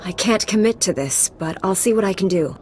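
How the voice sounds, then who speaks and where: An actress playing a dutiful Jedi Knight in a video game, speaking in a measured, serious, authoritative way. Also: female voice, medium pitch, expressive, darkish tone, a little hiss or hum, natural voice. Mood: composed, direct. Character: dutiful female Jedi Knight, earnest and composed. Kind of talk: video game cutscene acting.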